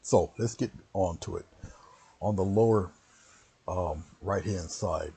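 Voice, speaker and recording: A man with a very low, gravelly voice, his pitch sitting near the bottom of the range. He leads a relaxed painting stream, rambling between steps and greeting viewers one by one. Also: slow pace. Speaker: painting instructor. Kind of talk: casual livestream painting lesson.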